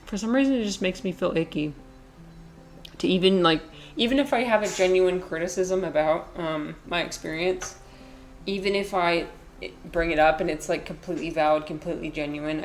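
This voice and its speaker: A woman in her twenties chatting and giggling as she streams a video game, rambling casually. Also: deep voice. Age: 20s. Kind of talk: casual gameplay commentary. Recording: echoey room with faint noise.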